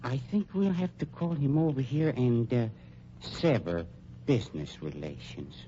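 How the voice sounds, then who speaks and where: A smooth, sinister undertaker played by a man speaks with prim, wounded courtesy and sweeping intonation. His tone is mellow and his formality menacing, on a muffled, noisy old radio drama recording. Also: medium pitch, lively intonation, natural voice. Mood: mock-hurt. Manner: over-polite, prim, mock-hurt, drawn-out formal phrasing. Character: unctuous, sinister male funeral director and underworld schemer.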